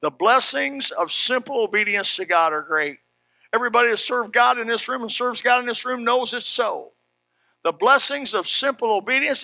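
A man preaching an animated sermon in a folksy, emphatic manner, exclaiming and repeating phrases as he tells stories. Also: extremely high pitch, gravelly, dry recording. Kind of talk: animated Bible sermon with personal anecdotes.

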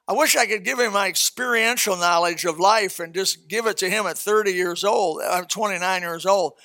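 Bright-voiced older man preaching folksy. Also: high voice, creaky. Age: 70s. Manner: folksy, blunt, conversational with scripture reading. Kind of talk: casual sermon, Bible exposition.

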